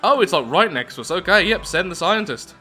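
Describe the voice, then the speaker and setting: A man gives casual commentary on a game he is playing. His voice is fairly high and very bright, and his manner is chatty and self-deprecating. Soft music plays faintly behind him. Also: lively intonation, a little fast. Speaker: gaming video host. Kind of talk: casual game commentary with read-aloud storytelling.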